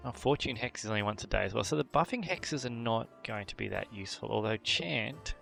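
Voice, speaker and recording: A man hosting a game video, thinking aloud through character choices with frequent fillers and throat clearing. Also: medium pitch, animated, fairly quick, a bit bright, faint music behind. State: voice a bit worn from a long previous session. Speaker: gameplay channel host. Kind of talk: casual gameplay commentary.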